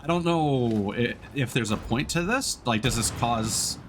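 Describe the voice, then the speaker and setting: A man giving casual let's-play commentary as he streams a game, thinking aloud in a halting way. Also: medium pitch, expressive, slight background noise. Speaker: streamer playing a video game.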